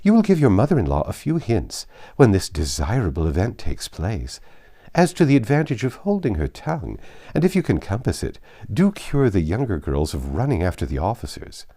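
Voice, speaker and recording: A man's voice: lowish voice, wildly swooping pitch, normal speed, mellow, exaggerated voice. A man voicing a jealous, mocking, snobbish young society lady in a storybook reading, with an affected, needling manner. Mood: slyly mocking.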